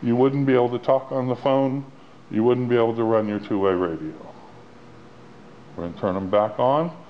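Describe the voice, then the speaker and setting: A man's voice: mid-range voice, moderate pace. A man giving a plain-spoken, step-by-step instructional walkthrough of a product as its demonstrator. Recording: dull lo-fi sound with clear background noise.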